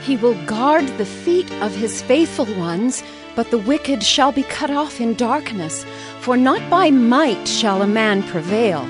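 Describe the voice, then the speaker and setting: An actress playing a devout, grateful biblical mother in a dramatized scripture reading, speaking in a solemn, fervent, measured way. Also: fairly high pitch, lively intonation, over music. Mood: exultant, solemn.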